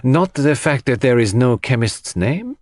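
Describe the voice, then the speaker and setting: A man voicing a calm, courteous detective who questions people precisely in an audiobook mystery. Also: medium pitch, measured pace, dry recording, voice pushed up. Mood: probing.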